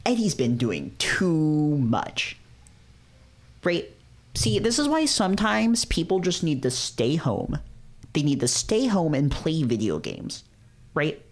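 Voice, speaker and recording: A man reading visual novels aloud and chatting casually as a streamer, often repeating himself in informal slang. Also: fairly high pitch. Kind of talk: casual gaming chat and read-aloud narration.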